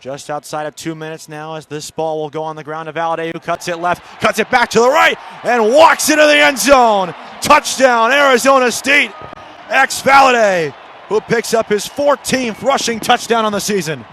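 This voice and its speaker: A man's voice: high-pitched, normal speed, gravelly, pushed and bright, slight background noise. A man calling live college football on the radio as play-by-play announcer, in clipped descriptive phrases that swell on big plays.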